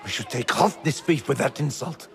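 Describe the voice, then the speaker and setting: An actor playing a gruff, domineering man who runs street thieves, in video game drama style. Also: medium pitch, animated, normal speed, natural voice. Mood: threatening. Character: gruff, bossy male fence and crime boss.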